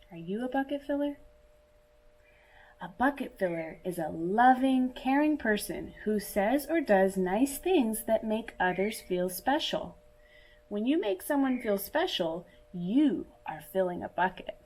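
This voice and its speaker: A woman reading a picture book aloud to young children in a deliberate, teacherly manner, as if speaking directly to her listeners. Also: on the high side, darkish tone. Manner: asks listeners questions and pauses for answers. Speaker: children's story reader.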